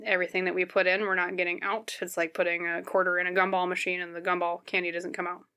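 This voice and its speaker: A woman reads tarot for her viewers in a fairly low, slightly scratchy voice. She talks casually and explains things gently, often checking in with the listener as she goes. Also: level tone, quick pace. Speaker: tarot reader, host. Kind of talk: casual, conversational tarot card reading to viewers.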